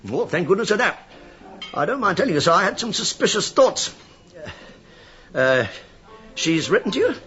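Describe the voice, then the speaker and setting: Give the impression of a nosy police inspector in a radio drama. His voice is on the high side and swings widely, with droll feigned politeness and sly understatement. The recording is slightly dull. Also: big pitch swings, normal speed, long pauses, a bit bright, soft background music. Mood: mock-polite needling. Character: wry, nosy, mock-humble male police inspector. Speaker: actor in a radio play.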